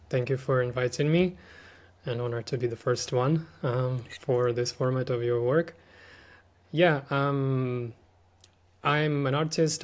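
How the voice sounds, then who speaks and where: A man, talking as a podcast guest in a thoughtful, hesitant, casual way. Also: mid-range voice. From Ukraine. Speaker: podcast guest, artist and language teacher. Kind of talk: casual reflective conversation.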